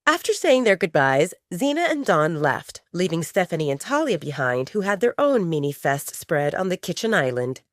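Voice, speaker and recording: A woman's voice: on the low side, big pitch swings, normal speed, hardly any pauses, dry recording, studio quality. A woman narrating a scripted audio drama as a storyteller.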